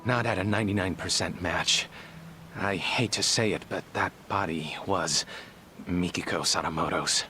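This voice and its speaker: An actor playing a reserved, formal middle-aged male clinic director with a measured delivery in an English video game dub. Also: deep voice, sharp tone, slight background noise. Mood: regretful.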